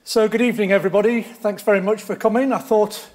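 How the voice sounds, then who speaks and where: A man's voice: high-pitched, steady tone, quick pace, a little mellow. A middle-aged man informally addressing a lecture theatre audience as the interviewer for the evening. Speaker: event interviewer opening the talk. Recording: audience noise in lecture hall.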